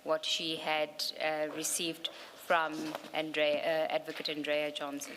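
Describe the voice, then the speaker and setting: A woman doing formal questioning at an inquiry, speaking haltingly as she works through documents. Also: deep voice, completely flat delivery. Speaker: evidence leader questioning a witness at a commission. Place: large hearing room. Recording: echoey hall, clear background noise.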